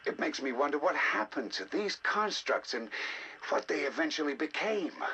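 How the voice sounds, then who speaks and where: Bright, animated male scientist, measured delivery. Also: on the high side, a little hiss or hum, rough recording. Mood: fascinated, eager. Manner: measured, articulate, intrigued. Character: learned male researcher, eager and fascinated by alien ruins. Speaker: scientist narrating research logs. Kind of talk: scripted game audio log narration.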